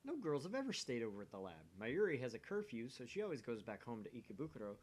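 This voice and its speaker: A man's voice: mid-range voice, sing-song, fast talker. A man who hosts gaming videos, reading a story aloud with casual banter in between.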